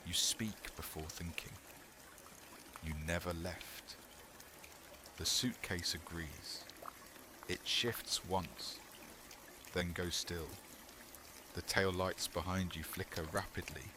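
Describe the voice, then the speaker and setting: A man narrating a scripted horror story in the second person, in short measured phrases with pauses. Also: low voice, background noise.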